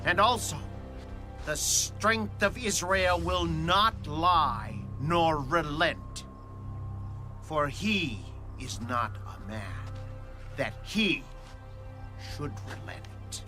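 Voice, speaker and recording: A man's voice: a little high, expressive, extremely slow, pushed and bright, soft background music, exaggerated voice. A man playing a stern, grave prophet in a dramatized audio Bible, declaiming his lines. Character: Samuel, stern, grave male prophet of ancient Israel.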